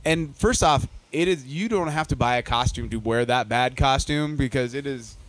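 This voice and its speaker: A man's voice: mid-range voice, normal speed, rough recording. A man hosting a casual podcast, opinionated and emphatic, swearing often.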